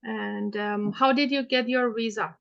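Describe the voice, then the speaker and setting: A woman questions a client in a mock hearing, pausing often and restarting her sentences. Her voice sits fairly high. The recording is dull and muffled. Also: steady tone, vocal fry. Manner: hesitant, many fillers and restarts. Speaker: student playing counsel in a mock hearing.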